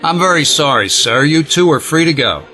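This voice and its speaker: A man acts a formal, stiffly patient police interrogator in an audio drama. His voice is forceful and bright, a little high, with soft music underneath. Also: expressive, moderate pace. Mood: apologetic, firm. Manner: formal, official.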